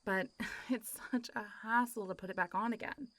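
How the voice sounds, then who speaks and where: A woman playing a chatty, playful, good-humored female zombie in a casual, teasing, expressive first-person roleplay audio. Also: on the high side, moderate pace, no echo, natural voice. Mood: playful, inviting. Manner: giggly, teasing, expressive, mildly sweary. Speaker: voice actor.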